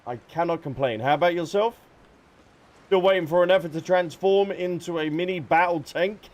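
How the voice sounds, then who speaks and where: Higher-pitched man chatting on a game livestream. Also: a little fast, a little mellow. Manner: chatty, addresses viewers by name. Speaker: live streamer. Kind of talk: casual game livestream chat. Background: game music.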